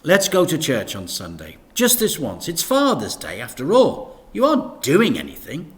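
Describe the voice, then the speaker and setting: A man's voice: fairly high pitch, huge pitch swings, normal speed, pitched above the performer's own voice. A man voicing a cheeky, earnest young boy in a children's storybook, insistent and pleading.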